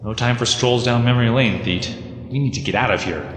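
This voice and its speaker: A man's voice: medium pitch, a little fast, natural voice. A man playing a practical, sardonic rebel technician with a dry, impatient manner in an audio drama. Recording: echoey, long reverb.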